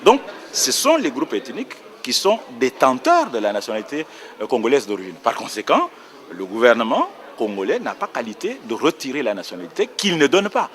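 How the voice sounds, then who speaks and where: A man's voice: medium pitch, wildly swooping pitch, brisk, fairly bright tone. A man explaining a legal point on a panel in a precise, lecturing way, correcting himself as he goes. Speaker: round table panelist on nationality law.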